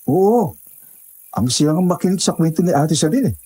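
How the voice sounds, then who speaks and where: Mellow, dismissive male hiker, acted. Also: on the high side, lively intonation, normal speed, slightly rough. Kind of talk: horror audio drama acting.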